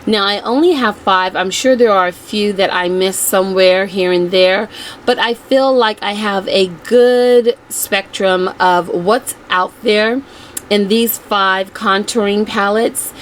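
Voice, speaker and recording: A woman with a slightly scratchy, strained voice, chatting informally as the host of a casual makeup review vlog. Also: medium pitch. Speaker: beauty vlogger host.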